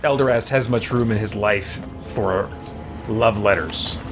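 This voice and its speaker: Rambling male streamer over clear music. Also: lowish voice, a little slow. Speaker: live streamer. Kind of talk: casual chat while gaming, reading viewer comments. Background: game music.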